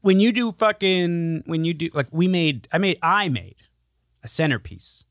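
A man's voice: fairly high pitch, expressive, moderate pace, fairly bright tone, no room sound. Guy in his 30s on a podcast, sweary and jokey, close dry sound. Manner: profane, jokey. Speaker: podcast co-host. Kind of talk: casual chat, storytelling.

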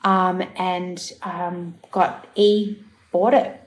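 A woman's voice: fairly low pitch, little pitch movement, very slow. A middle-aged woman from New Zealand, chatting as an interview guest, laughing often, with lots of fillers and restarts. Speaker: podcast guest, TV producer and director. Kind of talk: casual interview chat.

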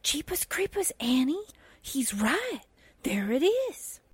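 A woman performs a naive, perky newspaperwoman sidekick from a vintage radio play. She speaks slowly in a fairly high, swooping voice. Her exclamations are folksy and wide-eyed. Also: sing-song, dry recording, heavily acted. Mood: surprised. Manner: exclaiming, guileless. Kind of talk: 1940s radio drama acting.

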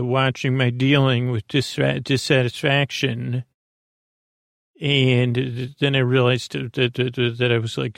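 A man hosting a sleep podcast, rambling haltingly through a meandering bedtime monologue, often stammering and restarting as he wanders into tangents. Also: mid-range voice, creaky, dry recording, clean studio sound. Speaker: podcast host.

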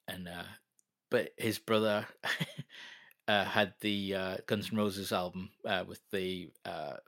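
Man, 40s, low bright voice, rambling self-deprecating guest. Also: steady tone, slow, dry recording, studio quality. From North East England. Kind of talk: casual interview chat.

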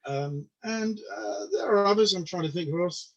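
High-voiced man in his mid-sixties, Polish first language. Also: moderate pace, gravelly, a bit bright, highs cut off. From United Kingdom. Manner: stammering repetitions, self-deprecating. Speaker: podcast guest, comedian. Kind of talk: casual interview chat.

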